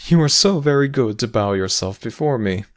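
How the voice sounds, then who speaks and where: A man's voice: mid-range voice, lively intonation, normal speed, lightly acted. A man voicing a lofty male Egyptian god in a dramatic audio roleplay, explaining things in a formal, theatrical and superior manner. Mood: lofty, gracious.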